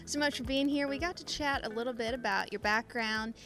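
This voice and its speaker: A woman's voice: a little high, fairly quick, a bit bright, faint music behind. A woman with an American accent, interviewing a guest conversationally as the host of a show.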